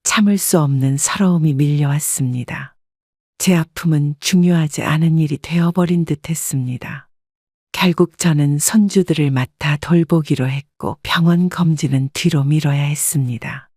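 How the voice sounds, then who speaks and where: A woman's voice: deep voice, normal speed, mellow, no room sound. Woman narrating in a very dry recording. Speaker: story narrator. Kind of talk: scripted first-person audiobook narration.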